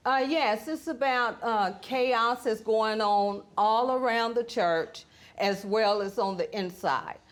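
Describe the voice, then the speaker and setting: A woman speaks as a plaintiff on a court show. Her voice runs a bit high, and she gives her testimony in a conversational way. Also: takes it slowly. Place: TV courtroom studio.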